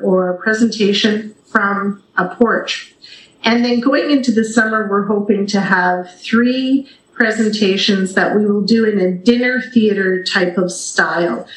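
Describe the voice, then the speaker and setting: A Canadian woman speaking enthusiastically and conversationally as a guest in a radio interview. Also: mid-range voice. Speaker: interview guest, theatre company representative. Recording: roomy, echoing sound.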